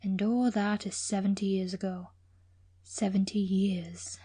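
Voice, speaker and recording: A woman voicing a frail, very old gentleman with a soft, trained voice and a slight foreign accent, speaking slowly and wearily. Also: medium pitch, little pitch movement, breathy edge. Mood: weary. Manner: soft, slow, weary.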